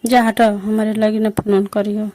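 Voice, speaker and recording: A woman's voice: medium pitch, flat delivery, moderate pace, mellow. A woman with an eastern Uttar Pradesh Bhojpuri accent, giving curt, defiant replies in a casual phone call. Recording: dull muffled phone call recording.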